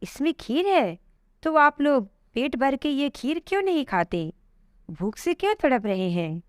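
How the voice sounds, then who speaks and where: A woman's voice: sits high, very animated, a little slow, no room sound, clean studio sound. A woman voicing a kind, curious, pious elderly woman in a polite, wondering storybook manner. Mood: puzzled questioning.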